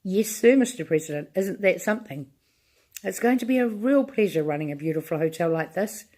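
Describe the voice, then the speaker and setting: A woman's voice: medium pitch, animated, a little fast, mellow, natural voice. Lady doing a fussy hotel manager guy, all gushing and formal.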